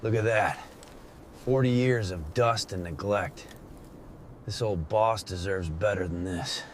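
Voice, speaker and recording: A man's voice: fairly low pitch, a little slow, noisy background. A man working as a car restorer gives scripted commentary on the job.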